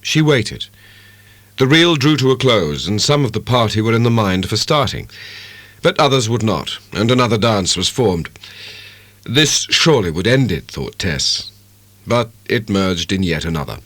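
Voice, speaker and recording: A man narrates a classic novel in a measured, dramatic way. His intonation swings expressively over a middling-low pitch, and the sound is dry and close. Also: big pitch swings, a bit bright, dry recording. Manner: measured, literary storytelling. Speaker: audiobook narrator.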